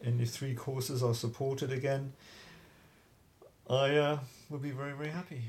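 A man chatting in an interview about organizing a dance event, halting with many restarts and laughs. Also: medium pitch, flat delivery, darkish tone. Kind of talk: casual interview chat.

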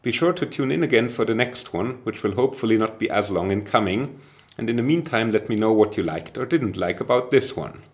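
Low-voiced dry podcast host man. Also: animated, fairly quick. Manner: dry, wry announcements. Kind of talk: scripted podcast hosting.

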